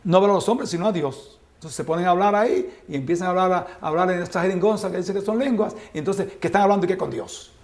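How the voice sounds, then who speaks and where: High gravelly preacher. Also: male voice, fast talker, hardly any pauses. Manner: explanatory, reads scripture then comments with rhetorical questions. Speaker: Bible teacher. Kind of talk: Bible study lecture.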